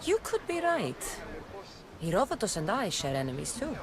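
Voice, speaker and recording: A woman's voice: medium pitch, wildly swooping pitch, normal speed, crowd noise. An actress playing a determined young female warrior who talks directly and dryly, over game music.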